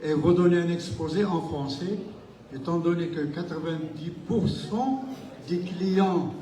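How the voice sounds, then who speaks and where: A man's voice: fairly high pitch, a little slow, mellow, recorded from a distance. A man in the audience with a Moroccan accent, making a frank complaint from the floor. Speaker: audience member. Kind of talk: remark from the floor. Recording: echoey hall from afar, crowd murmur.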